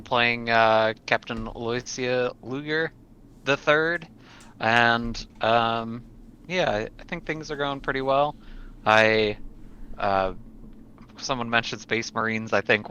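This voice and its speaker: A man chatting casually as a tabletop game player, halting as he talks, on a slightly muffled, noisy mic. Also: on the low side, bright, forceful tone. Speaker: player on a tabletop roleplaying show. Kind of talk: casual chat.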